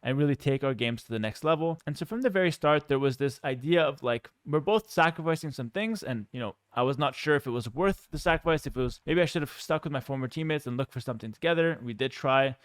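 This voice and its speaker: A man's voice: medium pitch, fast, few pauses, clear, resonant tone, no room sound. A man, giving a candid, reflective personal talk and explaining things with frequent conversational asides. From Canada. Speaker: professional gamer and content creator.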